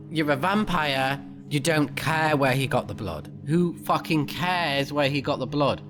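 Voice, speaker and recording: A man's voice: a little high, animated, takes it slowly. A man recording a let's play, switching between casual off-the-cuff commentary and reading game text aloud. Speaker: let's player, game streamer.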